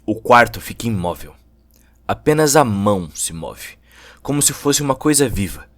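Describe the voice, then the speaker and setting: A man's voice: on the low side, big pitch swings, moderate pace, fairly bright tone, no room sound. A man with a Brazilian Portuguese accent giving dramatic scripted narration of a cyberpunk story. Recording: music under the voice.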